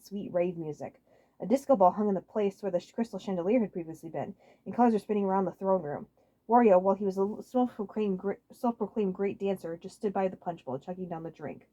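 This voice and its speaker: A woman's voice: medium pitch, quick pace, dark tone, poor audio. A woman reading fan fiction aloud as a scripted story narrator on a home microphone.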